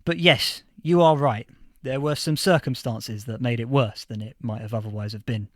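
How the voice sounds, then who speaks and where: An actor playing a history professor from a post-apocalyptic future in a fiction podcast interview, explaining things with emphatic lecturing. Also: male voice, medium pitch, normal speed, dry recording, clean studio sound, natural voice. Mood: emphatic, explanatory.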